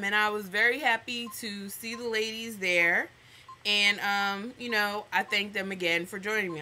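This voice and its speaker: A young American woman telling stories in a casual vlog, with an animated, sassy, slangy manner, acting out reactions as she goes. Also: mid-range voice. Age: young adult. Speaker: vlogger. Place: small indoor room at home.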